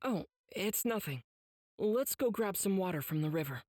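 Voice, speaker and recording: A man's voice: high-pitched, lively intonation, moderate pace, darkish tone, echoey room, big-room sound. A man voicing an earnest, kind-hearted teenage boy swordsman with emotional delivery in an anime game. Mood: puzzled, mild.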